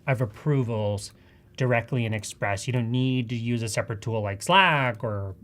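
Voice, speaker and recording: A woman's voice: extremely low pitch, moderate pace. Woman, Canadian accent, enthusiastic, chatty, casual live demo presenter, conversational. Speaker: presenter of a live software tutorial.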